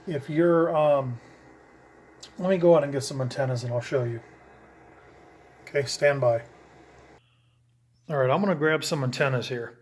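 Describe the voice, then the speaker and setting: A man's voice: mid-range voice, normal speed, pauses often, darkish tone, slight background noise. Rambling man demonstrating CB radio gear. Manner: rambling, hands-on explaining with restarts. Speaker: CB radio hobbyist. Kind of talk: casual how-to demonstration. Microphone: camera mic, handling gear while talking.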